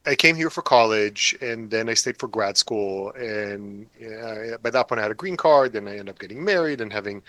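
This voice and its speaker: A man's voice: on the low side, sharp tone, no room sound. Wry, joking man in his forties. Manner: wry, self-deprecating, joking. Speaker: author guest. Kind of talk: casual interview chat.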